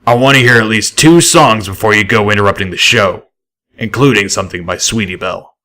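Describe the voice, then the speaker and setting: A man acts out a gruff stallion who bosses raiders in a pony adventure story. He is brash and pleased with himself, and he stretches his words out in a drawling, forceful way. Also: on the low side, fairly quick.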